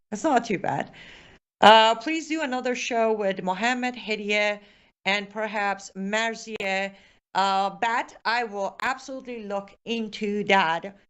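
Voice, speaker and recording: A woman's voice: mid-range voice, fairly bright tone. A woman with a Persian accent, hosting a live show and chatting through viewer comments, with many fillers and restarts.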